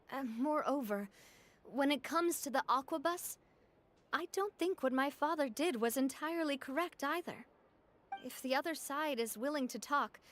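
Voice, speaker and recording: Young lady boss of a crew woman voicing, firm but friendly, highish voice. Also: lightly acted.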